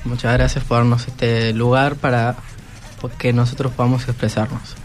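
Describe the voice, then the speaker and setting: A teenage male student speaking informally and politely as a guest in a radio studio, somewhat halting. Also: mid-range voice, little pitch movement. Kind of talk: informal prepared thanks and comments. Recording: music behind the voice.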